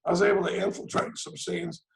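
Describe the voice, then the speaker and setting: A man's voice: high voice, level tone, fairly quick, vocal fry, roomy sound, a little hiss or hum. A man, sharing his story at a recovery meeting in a rambling, joking way. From Dallas, Texas. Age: 60s. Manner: joking, rambling, frequent "I mean" and "you know". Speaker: AA speaker. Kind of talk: storytelling speaker-meeting share. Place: home room on a video call.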